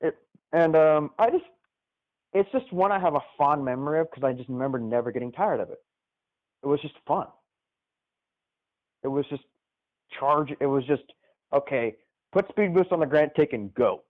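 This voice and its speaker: A man's voice: fairly high pitch, expressive, moderate pace, pauses often. Phone-line male caller chatting casually. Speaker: caller on a gaming livestream. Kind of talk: casual chat, reminiscing about gaming. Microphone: live call into the stream. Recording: tinny, band-limited phone-like call.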